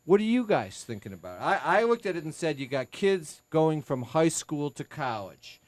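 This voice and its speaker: A man's voice: a little high, big pitch swings, moderate pace, no echo. A man in his sixties hosting a legal talk radio show, chatty and self-mocking, often stopping to restart a sentence. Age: 60s. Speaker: attorney and host. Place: radio studio. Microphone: studio mic.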